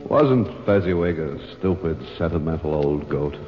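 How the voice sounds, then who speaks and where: Male spirit, extremely low voice, bossy, streetwise, slangy, cavernous reverb, old radio drama. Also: expressive, moderate pace, music in the background. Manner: tough-talking, slangy, bossy. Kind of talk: 1940s radio acting. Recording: dull old radio recording, long hall reverb.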